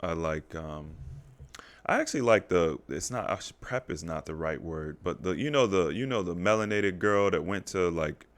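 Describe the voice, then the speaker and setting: A man chats on a casual podcast in a fairly low voice with a wide pitch swing. He speaks in a low-key way but holds firm when he argues a point. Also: lively intonation, normal speed. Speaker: podcast co-host.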